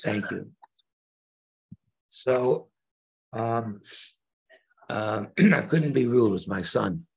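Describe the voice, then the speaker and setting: An older man, a rabbi, giving an unhurried online Torah class, pausing often with fillers and restarts, speaking on a video call from a room by large windows. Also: fairly low pitch, vocal fry, darkish tone, breathy edge, low-quality recording. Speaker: rabbi teaching converts.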